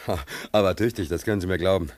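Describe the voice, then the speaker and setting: An actor playing a cheeky, naive-seeming German vagabond prisoner in a radio play, speaking in a folksy, hesitant, deferential yet impudent way. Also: male voice, low-pitched, quick pace, darkish tone, lightly acted. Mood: hungry, grateful.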